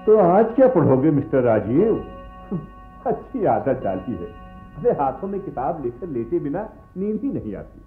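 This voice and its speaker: An actor playing a genial, bookish gentleman in a radio play, musing to himself and narrating a story expressively. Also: fairly high pitch, sing-song, moderate pace. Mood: musing, relaxed. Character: bookish gentleman reading stories aloud at bedtime, genial. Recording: muffled narrow-band radio recording with music.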